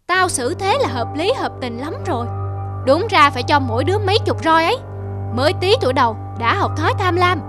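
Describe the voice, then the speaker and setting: A woman's voice: very high-pitched, animated, a little fast, over music. A woman voicing a greedy, pompous middle-aged male mandarin in a cartoon, scolding and blustering. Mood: stern.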